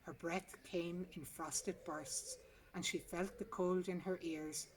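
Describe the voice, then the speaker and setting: Female story reader, lower register, measured and sombre; garden recording with prominent birdsong. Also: fairly even intonation, slight background noise. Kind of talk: literary story reading. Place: outdoors in a garden. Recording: outdoor garden recording, distant mic, birdsong.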